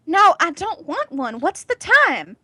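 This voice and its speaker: A woman playing a nervous, evasive suburban wife and mother in an amateur radio play, with a hesitant, halting delivery. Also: very high voice, very animated, pushed and bright, dry recording, heavily acted.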